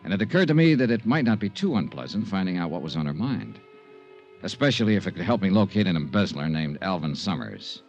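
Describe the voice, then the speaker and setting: An actor playing a wry, cocky insurance investigator in a radio drama, wisecracking and unflappable in 1950s hard-boiled style. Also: male voice, deep voice, sing-song, quick pace, a little mellow, soft background music. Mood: wry narrating. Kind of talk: 1950s radio acting, hard-boiled first-person narration. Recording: dull old radio recording.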